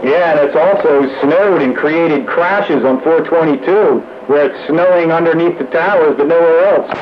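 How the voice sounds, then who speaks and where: A man's voice: on the high side, normal speed. A man chatting casually over the radio. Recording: muffled, noisy radio transmission.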